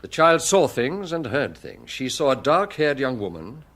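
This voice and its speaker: A relentless police detective in a radio drama. His pitch is middling but swings widely as he presses on, deliberate and sardonic, with a hard edge. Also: very animated, fairly bright tone. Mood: grim, pressing. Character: relentless, hard-edged male police detective interrogating a suspect.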